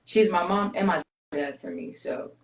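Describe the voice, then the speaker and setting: A woman's voice: lowish voice, echoey room, slight background noise. A woman born and raised in Greece, whose first language is Greek, tells her life story candidly and steadily as an interview guest. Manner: candid, steady, measured with pauses. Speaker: guest, basketball player.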